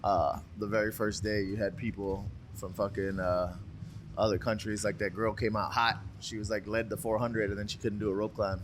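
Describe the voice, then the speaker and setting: A man's voice: on the low side, level tone, moderate pace. A man co-hosting a podcast in casual chat, argumentative and swearing often. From United States. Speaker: podcast co-host. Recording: background noise.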